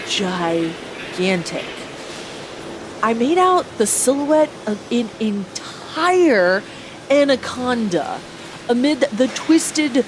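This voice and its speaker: A woman's voice: a little high, background noise, heavily acted. A woman playing an earnest young space pilot who narrates her own story in an audio drama. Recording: clear vehicle ambience behind voice.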